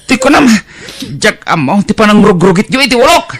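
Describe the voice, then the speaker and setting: A man's voice: high voice, sing-song, fairly quick, raised voice. A man with an Ilocano accent playing a volatile, remorseful village husband in an audio drama, recorded with some noise. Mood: furious, anguished.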